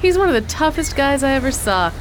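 A woman playing a worldly, tough-talking actress in a radio drama, blunt and slangy with rough grammar. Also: sits high, very animated, a little fast, slight background noise. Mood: knowing, chatty.

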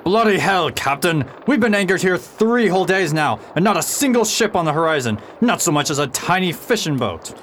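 An actor playing a coarse, grumbling pirate underling in a theatrical period radio drama. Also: male voice, high voice, very animated, fairly quick, few pauses, a bit bright, slight background noise. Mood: complaining.

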